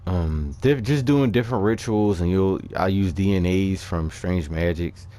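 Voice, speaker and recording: Low-voiced man casually talking through a game. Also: dark tone. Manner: halting, thinking aloud. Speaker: gaming video creator. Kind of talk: casual unscripted game commentary.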